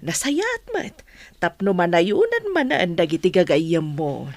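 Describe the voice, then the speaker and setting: A woman's voice: on the low side, very animated, a little slow, no room sound. A woman playing an approving, inquisitive mother of a young woman in an Ilocano audio drama. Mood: curious, pleased.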